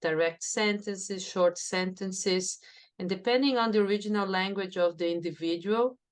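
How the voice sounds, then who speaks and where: A woman's voice: medium pitch, moderate pace. A woman from Brazil with a Brazilian Portuguese accent, talking reflectively as a professor guest in an interview, often saying "you know". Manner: thoughtful, with frequent "you know" fillers. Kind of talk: conversational interview.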